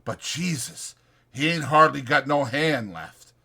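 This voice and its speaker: A man voicing a calm, authoritative ranch worker with a level, commanding way of talking. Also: mid-range voice, a little slow, vocal fry, breathy edge, voice pushed up, full character voice. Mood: steady. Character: calm authoritative male mule skinner, steady.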